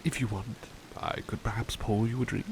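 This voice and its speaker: A man playing a devoted, polite butler in an ASMR roleplay, speaking softly and hesitantly with courteous formality, often slipping toward a whisper. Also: lowish voice, steady tone, moderate pace, creaky, slight background noise. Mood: courteous, warm. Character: devoted, affectionate, polite male butler comforting his companion. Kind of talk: ASMR roleplay, intimate personal attention.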